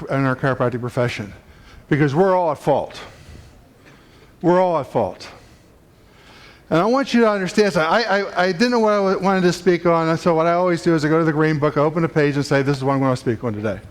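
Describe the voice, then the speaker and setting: High-voiced preachy man addressing a room. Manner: preachy, emphatic, builds up intensity. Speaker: chiropractic speaker. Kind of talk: motivational talk to a live audience. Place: conference room.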